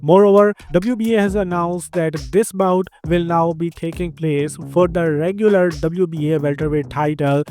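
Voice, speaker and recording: A man with an Indian accent hosting a fight news roundup in a brisk, opinionated voice. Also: fairly high pitch, fairly even intonation, a little mellow, faint music behind. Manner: brisk, opinionated, playful asides. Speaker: MMA news host. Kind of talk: scripted news narration.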